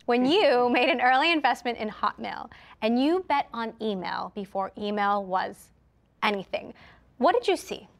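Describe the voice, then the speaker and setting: A woman hosting a broadcast interview, introducing her guest and asking crisp, polished questions. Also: fairly high pitch, animated, normal speed. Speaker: interview host.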